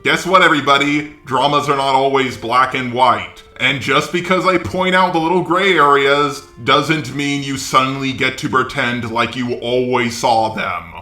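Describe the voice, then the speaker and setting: A man's voice: mid-range voice, moderate pace, few pauses, bright, forceful tone, echoey room. A man delivering scripted commentary in a sarcastic, exasperated way, with swearing. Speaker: commentary video creator.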